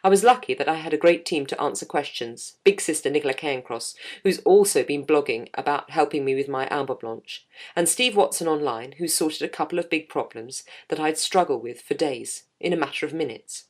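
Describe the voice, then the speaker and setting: A middle-aged woman with a low voice, reading her own blog aloud in a wry, self-mocking way. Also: fairly quick, a little mellow. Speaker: jazz singer and podcast host.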